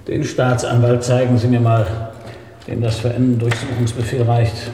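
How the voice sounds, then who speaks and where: A man's voice: mid-range voice, flat delivery, moderate pace, mellow, slight background noise, lightly acted. An actor playing a seasoned, sardonic male police inspector in a German radio crime drama. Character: seasoned, dry-witted male New York police inspector.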